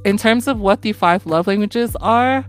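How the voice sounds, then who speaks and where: A woman's voice: mid-range voice, normal speed, soft background music. Earnest young woman hosting a podcast. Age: 20s. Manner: warm, earnest, often laughing, many fillers. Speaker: podcast host. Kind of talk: casual podcast chat.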